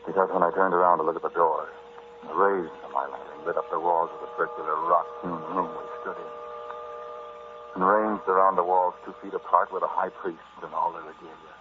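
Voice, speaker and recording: Through a muffled, noisy vintage radio recording comes a man acting a callous, megalomaniac treasure thief. His voice is very low, and he speaks haltingly and confidingly, like the narrator of an old horror broadcast. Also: fairly even intonation, close to an everyday voice. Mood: tense narrating. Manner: halting, intimate, addressing a listener directly.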